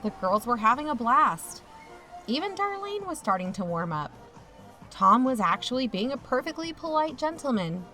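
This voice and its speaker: A woman's voice: a little high, sharp tone, low-quality recording. A woman playing a watchful youth-camp chaperone who narrates an audio drama in a confiding first person.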